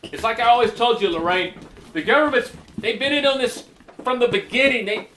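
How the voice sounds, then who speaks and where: A man's voice: very high voice, expressive, a little fast, fairly bright tone. An American man acting in an audio drama as a panicky, sarcastic, paranoid boyfriend who stammers and swears in exasperation. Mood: panicky, urgent. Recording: clear background noise, phone-diary sound.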